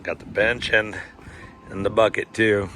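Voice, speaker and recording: A man losing his voice, chatting excitedly as he films a walking tour outdoors at a roadside barbecue spot. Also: lowish voice, a little slow, faint music behind. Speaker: vlogger touring a film location. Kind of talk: casual walking tour commentary.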